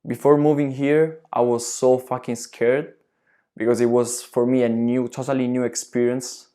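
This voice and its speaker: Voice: mid-range voice, little pitch movement, normal speed, warm tone. A young exchange student from Italy, speaking frankly and expressively in a documentary interview.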